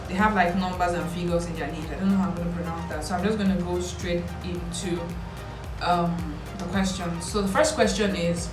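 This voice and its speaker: Low-pitched young Nigerian woman in an echoey room. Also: steady tone, a little fast. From Lagos, Nigeria. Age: 20s. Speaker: vlogger. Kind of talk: casual chat to camera answering questions. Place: small indoor room with bare walls. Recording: roomy echo, distant mic, background music.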